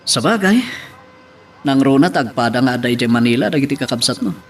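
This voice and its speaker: A man's voice: mid-range voice, expressive, a little fast, slight background noise, lightly acted. A man playing a caring, earnest young husband in an Ilocano audio drama. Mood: earnest, tender.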